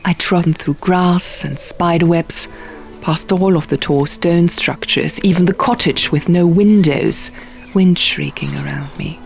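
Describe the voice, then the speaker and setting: Woman, low voice, audiobook narrator, scripted fantasy storytelling, music underneath. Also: unhurried, creaky. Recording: music behind.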